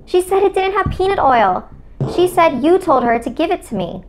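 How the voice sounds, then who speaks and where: A woman's voice: very high voice, sing-song, normal speed, background noise, voice pushed up. A woman voicing an upset twelve-year-old boy in a story, pleading and defensive.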